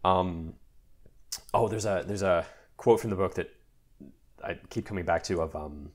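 A young man chatting casually, talking in a lively, rambling way with many fillers and restarts while getting over a mild cold. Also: deep voice, measured pace. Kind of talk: casual chat.

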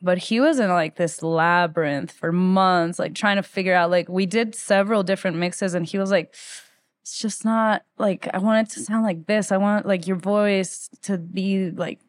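A woman's voice: lowish voice, steady tone, moderate pace, no echo. A woman from Ecuador, around thirty, telling stories casually as a musician being interviewed, with "like" sprinkled through her speech. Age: late 20s to 30s. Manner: informal, peppers speech with "like". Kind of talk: casual conversational storytelling.

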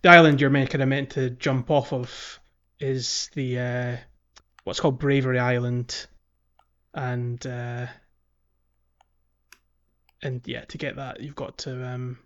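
A man co-hosting a video game podcast, chatting casually and explaining things in a halting way. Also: medium pitch, little pitch movement, fairly bright tone, dull audio. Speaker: podcast co-host.

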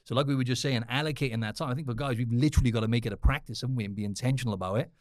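A man's voice: mid-range voice, racing, no room sound, clean studio sound. A man from Cardiff with a South Wales accent, hosting a casual podcast chat in a matey way, speaking straight to his listeners and tagging questions onto his sentences. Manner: matey, addresses listeners directly, tag questions. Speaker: podcast host.

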